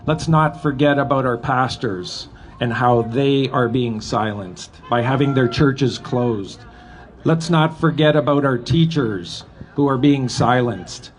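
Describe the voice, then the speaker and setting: A middle-aged or older man with a Canadian accent gives an earnest, deliberate, emphatic public speech at a live event. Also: medium pitch. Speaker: retired police officer speaking at a rally. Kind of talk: public speech, partly read from a letter. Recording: live event with background noise.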